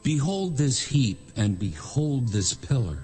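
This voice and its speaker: An actor playing a stern, possessive patriarch in a dramatized scripture reading, solemn and declamatory. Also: male voice, mid-range voice, very animated, unhurried, dark tone, soft background music. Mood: solemn warning. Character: stern, possessive patriarch, a father-in-law accusing and warning.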